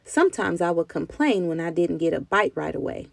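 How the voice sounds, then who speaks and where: A woman reading a children's story aloud in an expressive, deliberate storytelling style. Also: lowish voice, vocal fry, no room sound. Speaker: children's book reader.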